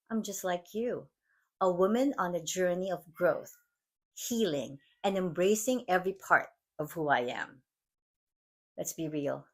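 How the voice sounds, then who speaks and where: A woman from the Philippines with a Filipino accent, hosting her podcast in an earnest, measured, reflective monologue. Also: mid-range voice, slightly rough. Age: adult mom. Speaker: podcast host. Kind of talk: scripted motivational monologue.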